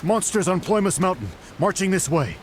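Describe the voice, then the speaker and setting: An actor voicing a fish-folk soldier bringing urgent news in a video game cutscene. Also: male voice, high voice, lively intonation, moderate pace, background noise. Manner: urgent, reporting. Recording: game score underneath.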